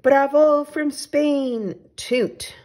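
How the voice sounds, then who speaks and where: A woman reading as an adventurous, affectionate male storybook traveler, with exclamatory phrasing and drawn-out sign-offs. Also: sits high, darkish tone, pitched above the performer's own voice. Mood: eager, affectionate. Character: adventurous, affectionate storybook traveler writing postcards to his friend.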